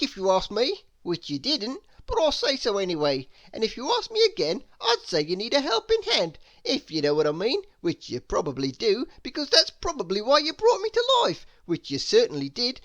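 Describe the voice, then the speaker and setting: A man's voice: very high voice, wildly swooping pitch, normal speed, hardly any pauses, a bit bright, pitched above the performer's own voice, exaggerated voice. A man voicing a talkative, eager, friendly snowman in a children's storybook, chirpy and rambling. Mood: chatty eagerness. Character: chatty, eager, friendly male snowman come to life. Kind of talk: children's storybook read-aloud.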